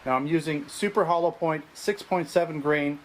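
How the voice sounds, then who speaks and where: A man's voice: a little high, normal speed. A man from Canada presenting a product test as a video reviewer, explaining each step in order. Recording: noisy video audio with background ambience.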